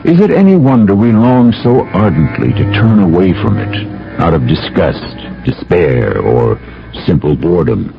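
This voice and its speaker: A man's voice: low-pitched, sing-song, measured pace, gravelly, full character voice. A man hosting a radio mystery drama in a portentous, philosophical, theatrical manner. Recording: dull old radio broadcast, music behind.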